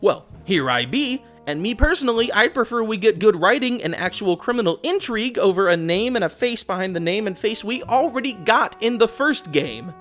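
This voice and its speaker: A man's voice: sits high, lively intonation, moderate pace, few pauses, a bit bright. Sardonic man commentating over music. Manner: sardonic, blunt, casually profane. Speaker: video essayist, host. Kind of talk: opinionated game commentary, casual script with asides.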